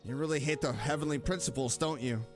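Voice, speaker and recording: A woman streamer casually reacting to a video game, expressive, with drawn-out exclamations. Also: low-pitched. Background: faint game music.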